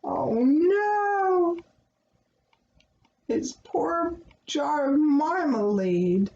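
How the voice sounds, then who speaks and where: Lady reading a kids book out loud, slow n very expressive, echoey room. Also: high voice, muffled recording. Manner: animated, dramatic, explanatory. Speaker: children's book reader. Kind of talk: expressive storybook read-aloud with asides explaining words. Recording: roomy echo, clear background noise.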